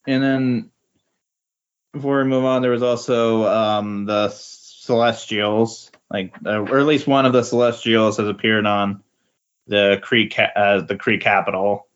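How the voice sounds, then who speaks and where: A man's voice: on the low side, fairly even intonation, measured pace, clean tone. A man chatting casually as a podcast guest, in a tentative, hesitant way. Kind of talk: casual chat. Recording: dull, lo-fi voice recording.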